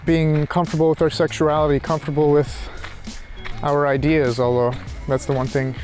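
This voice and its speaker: Male walk-and-talk vlogger, slightly raised pitch, reflective and halting, casual delivery, light music underneath. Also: level tone, darkish tone. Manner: reflective, halting, thinking aloud. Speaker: philosophy tutor vlogger. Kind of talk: casual rambling monologue while walking. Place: outdoors, walking.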